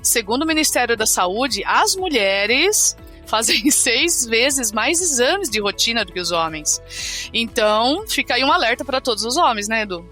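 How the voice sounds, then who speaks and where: A woman's voice: a little high, very animated, normal speed, pushed and bright, faint music behind. A woman co-hosting a health podcast, chatty and upbeat, often tagging her remarks with a questioning aside. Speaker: podcast co-host. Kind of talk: casual health podcast chat.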